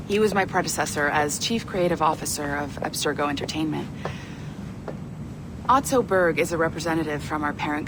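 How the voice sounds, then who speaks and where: Lowish-voiced, guarded woman executive. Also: background noise. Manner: measured, earnest, confiding. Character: composed, guarded female corporate executive, quietly intense. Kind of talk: scripted game dialogue.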